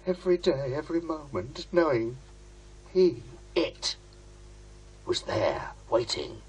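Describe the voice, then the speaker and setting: A man's voice: on the high side, sing-song, slow, slight background noise. An actor playing a possessed, hysterical man in a horror radio drama. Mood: haunted, frantic. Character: possessed, hysterical broadcaster raving about a creature.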